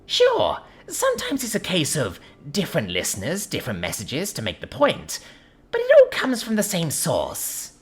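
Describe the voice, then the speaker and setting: A man voicing a wise old male rabbit teacher in a storybook reading, lecturing gently. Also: wildly swooping pitch, normal speed. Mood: encouraging. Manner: gently lecturing, swooping high.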